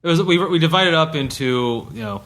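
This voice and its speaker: A man in casual chat as a tabletop player, excitable and halting, often starting his sentences over. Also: a little high, a little hiss or hum. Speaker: podcast guest, tabletop player.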